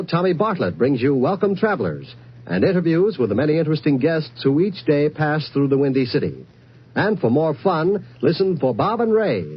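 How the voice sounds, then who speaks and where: A man's voice: on the high side, slightly rough. An American man announcing programs in a polished, salesy 1950s radio promo style. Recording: dull 1950s radio recording.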